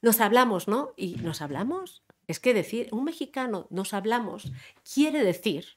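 A woman from Spain chatting as an interview guest, telling animated stories and quoting other people. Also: fairly high pitch, a little slow. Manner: animated storyteller, quotes others, laughs often. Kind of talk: casual interview chat.

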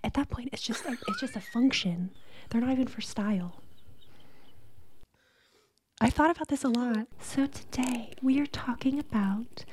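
A woman co-hosting a casual podcast in a soft, breathy, often whispered ASMR voice, playful and deliberately soothing, close on the mic. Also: on the high side, a little hiss or hum. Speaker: podcast co-host.

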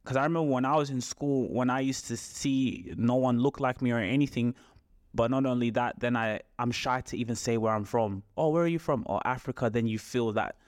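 A young man in casual conversation, asking questions and thinking out loud. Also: medium pitch, a little fast, no room sound, studio quality. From Australia. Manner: questioning, reflective. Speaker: podcast co-host, son.

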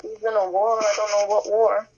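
A man's voice: high voice, flat delivery, brisk, darkish tone. A young man in a casual chat among friends who sounds distracted and hesitant. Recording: dull lo-fi sound.

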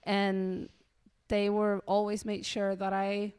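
Brief: young woman from Argentina, smooth clear voice, relaxed and earnest, lots of ums. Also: medium pitch, takes it slowly. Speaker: guest. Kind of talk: casual interview storytelling.

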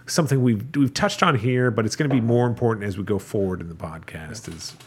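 A man's voice: lowish voice, brisk, slightly rough. A man hosting a casual podcast about tabletop role-playing games, joking and laughing with his co-hosts. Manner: jokey, laughing, upbeat sign-off patter. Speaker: podcast host.

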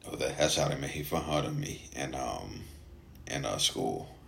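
A man who stutters, speaking casually in a halting personal monologue with frequent gaps and hesitations, as a podcast host. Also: a bass voice, lively intonation, gravelly, a little hiss or hum.

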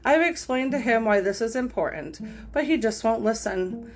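Woman podcast host, slightly high voice, reads stories cold then chats frankly, faint music bed. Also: lively intonation, moderate pace. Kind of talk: podcast reading of online posts with commentary.

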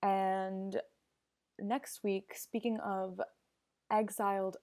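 Earnest, gravelly-voiced young woman podcaster. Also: medium pitch, little pitch movement, drawn-out words, a little mellow. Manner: earnest, digressive, opinionated. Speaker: podcast host. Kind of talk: casual solo podcast monologue.

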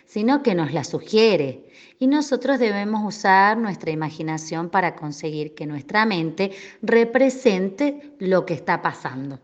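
A woman with an Argentine accent teaching in a didactic, expressive way, explaining and addressing her listeners, on slightly dull lo-fi audio. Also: mid-range voice. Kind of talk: educational explanation with spoken examples.

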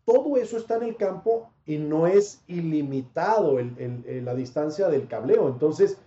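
A man working as a sports reporter tells stories with animated, expansive energy. His voice sits high but has a mellow, soft tone. The sound is dull and echoes as if in a roomy space. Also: normal speed. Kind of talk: interview answers, anecdote telling.

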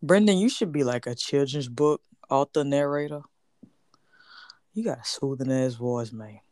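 Woman, extremely deep voice for a woman, blunt slangy banter. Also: normal speed, mellow, no echo, clean studio sound. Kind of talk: casual chat.

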